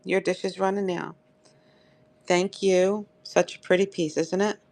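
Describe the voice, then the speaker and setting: A woman's voice: fairly low pitch, fairly even intonation, measured pace, vocal fry, darkish tone. A woman hosting a live online auction of pottery, chatty and upbeat, her throat dry and crackly. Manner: chatty, upbeat, addresses viewers by name. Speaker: live-stream seller. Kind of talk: live auction selling chat.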